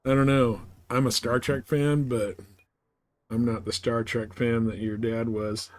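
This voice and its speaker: A man chats casually as he streams a game, thinking aloud between remarks to viewers. His pitch is average for a male voice. The sound is dry and close, with very little room in it. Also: flat delivery, unhurried, no echo. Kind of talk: casual chat while playing a space game.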